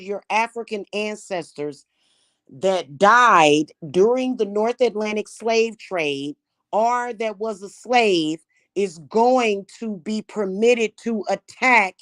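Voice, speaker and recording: A woman's voice: mid-range voice, no room sound, clean studio sound. A woman from Missouri with a Missouri accent, talking to her viewers in a blunt, scornful, mocking monologue. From Kansas City, Missouri. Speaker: spiritual practitioner and channel host.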